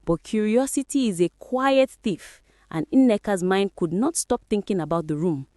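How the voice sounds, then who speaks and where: A woman's voice: mid-range voice, moderate pace, darkish tone, no echo, clean studio sound. A woman storyteller narrating a folktale in a dramatic, scripted style.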